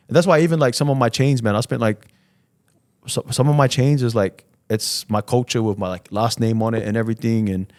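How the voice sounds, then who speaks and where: A man's voice: mid-range voice, darkish tone, dry recording, studio quality. A man from Fiji chatting casually as an interview guest, in slangy, informal speech full of "man" and "you know". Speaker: podcast guest, entrepreneur. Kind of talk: casual chat.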